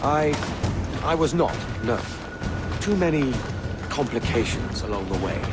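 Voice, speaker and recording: A man's voice: medium pitch, expressive, a little slow, over loud music, natural voice. A man playing a roguish young pirate in a video game, with a wry, guarded manner. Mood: evasive. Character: roguish young male pirate posing under false name. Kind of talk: cinematic video game dialogue.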